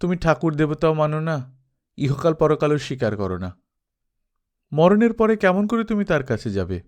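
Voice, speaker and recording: A man's voice: on the high side, expressive, brisk, a little mellow, natural voice. A man voicing a naive, earnest young brother-in-law who questions in bewilderment, in a dramatised novel reading. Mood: bewildered, questioning.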